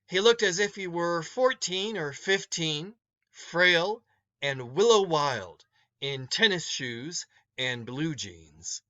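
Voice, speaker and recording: A male teacher narrates a short story for students to follow along. His voice is bright and forceful, a little high, and he takes it at a slow pace. The recording is slightly dull. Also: expressive.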